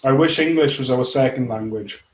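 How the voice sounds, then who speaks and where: A man's voice: mid-range voice, fairly quick. A man co-hosting a podcast, laid-back, mostly giving short replies. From Wales. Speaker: podcast co-host. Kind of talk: casual podcast chat. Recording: roomy echo, slight noise.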